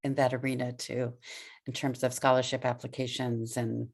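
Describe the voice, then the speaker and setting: A woman with an unusually deep voice and plenty of vocal fry chats on an online group call. She sounds informal and encouraging. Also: level tone, normal speed. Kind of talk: casual talk on a group call. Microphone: online conference call.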